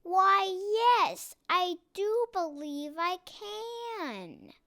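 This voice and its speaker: Child voicing an earnest, high-pitched little starling. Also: lively intonation, very slow, bright, forceful tone, exaggerated voice. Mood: happy, proud. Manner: emphatic, wordy. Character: bookish, earnest young starling, urgently warning his flock.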